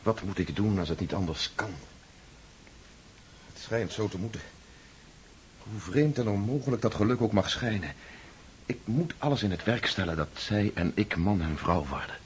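A man's voice: fairly low pitch, animated, normal speed, long pauses, slight background noise, close to an everyday voice. An actor playing a pensive, absent-minded nobleman who speaks in a musing, hesitant way. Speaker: Pierre, a nobleman. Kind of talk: radio drama acting.

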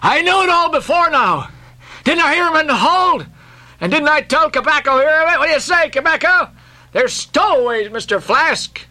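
Man acting a chatty, high-pitched sailor. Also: wildly swooping pitch, measured pace, hardly any pauses, sharp tone, full character voice. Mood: knowing, excited. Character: chatty, knowing whaleboat sailor, unworried. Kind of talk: audiobook character acting.

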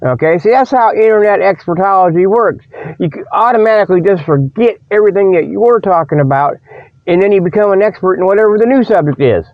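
Folksy rambling comedy host, higher-pitched man. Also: animated, quick pace, dry recording. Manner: folksy, repeats "you know" and "okay" as tags; chuckles at own jokes. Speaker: host of a comedy radio show. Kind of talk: rambling tongue-in-cheek monologue with mock sales pitches. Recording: dull, dry radio recording.

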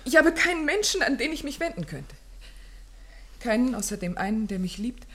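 A woman's voice: on the high side, big pitch swings, moderate pace, fairly bright tone. An actress playing a distressed, genteel young woman in a radio play, speaking formally and dramatically. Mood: desperate. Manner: formal, dramatic, pleading phrasing.

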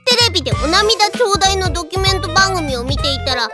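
A vain, lazy little alien cat-girl princess from an anime audio drama. The voice is high, childlike and cutesy, with a bossy, self-important delivery and cat-like verbal tics. Prominent music plays behind it. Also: expressive, a little fast. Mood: bright diary reading. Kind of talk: anime audio drama acting, reading a diary aloud.

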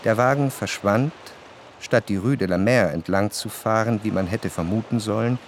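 An actor playing a reflective, jealous gentleman who narrates a literary radio drama in measured, introspective phrases. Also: on the low side, slight background noise, natural voice. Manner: measured, introspective, long formal sentences.

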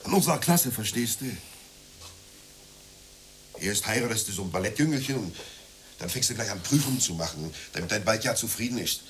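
Radio play: bitter working-class man, ranting and contemptuous, very animated intonation, audible hiss behind. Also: on the low side, brisk, long pauses. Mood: aggrieved, reproachful. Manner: scornful, ranting, emphatic. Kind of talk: naturalistic radio play dialogue.

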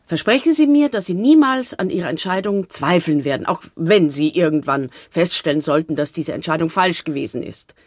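A woman's voice: lowish voice, lively intonation, fairly quick, hardly any pauses, no room sound, studio quality. An actress in a German radio drama playing a pushy, persistent woman who demands a lasting commitment. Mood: demanding.

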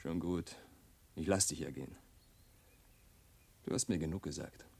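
A man's voice: rumbling low, measured pace, creaky, a little mellow, slightly rough, heavily acted. A man playing a nosy, cocky young monk scholar in a German radio drama, with an insinuating, passionate manner. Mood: sly, insinuating. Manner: insinuating, then swelling into indignation.

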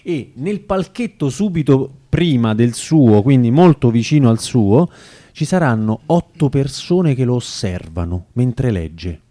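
A man in casual radio chat as a studio guest, halting as he searches for words. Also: medium pitch, expressive, warm tone. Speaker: radio studio guest presenting a theatre event.

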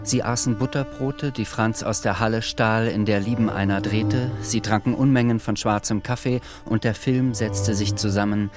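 A man narrating a literary radio play as a sober, reflective storyteller, in a measured manner. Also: fairly low pitch, fairly even intonation, music in the background, lightly acted. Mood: measured, grave. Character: sober, reflective male storyteller of a wartime novel.

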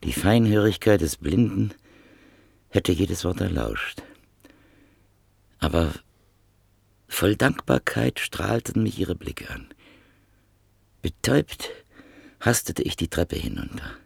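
Deep, gravelly reflective male narrator. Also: lively intonation, takes it slowly, long pauses, no room sound. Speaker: narrator and listener in a radio play. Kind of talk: 1950s radio drama acting.

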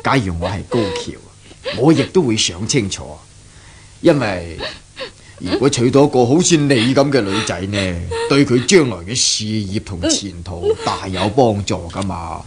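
A man's voice: lowish voice, lively intonation, a little slow, crowd noise. An actor playing an indulgent, worldly middle-aged father in a Cantonese radio drama, coaxing and chuckling, with a Hong Kong accent. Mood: reassuring, persuasive. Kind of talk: 1970s radio drama acting. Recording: noisy old 1970s radio recording.

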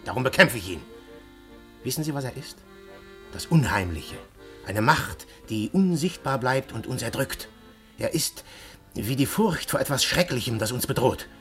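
An actor playing an excitable young male poet and detective in a German radio play, dropping to a hush and then bursting out loud. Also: mid-range voice, normal speed, pauses often, soft background music, close to an everyday voice. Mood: earnest. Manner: swings from hushed intensity to loud outbursts. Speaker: poet turned police detective.

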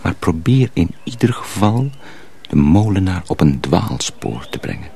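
A man playing a sly young miller's apprentice who poses as a simpleton, speaking confidingly and deliberately in a radio drama. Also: deep voice, sing-song, vocal fry, warm tone, full character voice. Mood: secretive, urgent. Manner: deliberate, hesitant, confiding, repeats himself. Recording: faint background noise.

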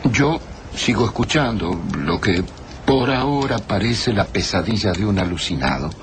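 A man voices a thoughtful first-person storyteller in a radio drama, speaking slowly and in a brooding way. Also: lowish voice, animated, lightly acted. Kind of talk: reflective scripted narration. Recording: noisy recording.

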